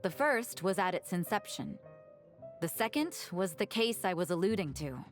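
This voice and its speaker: An actress playing a composed, wry woman in a fantasy game, recounting legends in a measured way. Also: lowish voice, very animated. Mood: measured, explaining. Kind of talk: scripted game dialogue. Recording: game music behind the voice.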